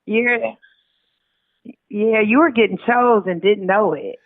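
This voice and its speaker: A woman chatting casually as an interview guest, agreeable and quick to interject. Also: medium pitch, no room sound. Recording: thin, tinny phone-like line.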